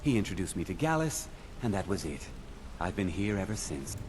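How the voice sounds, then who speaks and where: Low-voiced roguish thief. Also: male voice, sing-song, fairly quick. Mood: confiding, wistful. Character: suave thrill-seeking male thief, former rich winery heir. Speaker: video game character. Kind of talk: scripted game dialogue. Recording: clearly noisy background under game dialogue.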